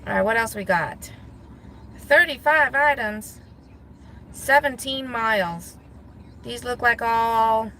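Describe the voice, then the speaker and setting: A woman from Guam chatting casually to viewers from her car, thinking out loud and laughing easily. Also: on the high side, takes it slowly, pauses often, slight background noise, low-quality recording. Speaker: gig delivery driver vlogger. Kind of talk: casual talking to viewers while driving. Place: inside a parked car.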